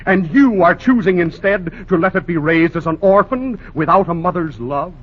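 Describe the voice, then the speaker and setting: A prim, officious store manager, reproachful in a 1940s radio play. His voice sits a little high. The sound is dull, like an old broadcast. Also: animated, moderate pace.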